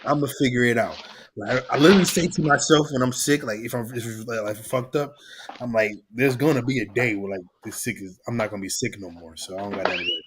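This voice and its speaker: A man's voice: medium pitch, fast. A man telling stories in blunt, profane street slang during a casual podcast chat. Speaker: podcast guest. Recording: noisy background hiss.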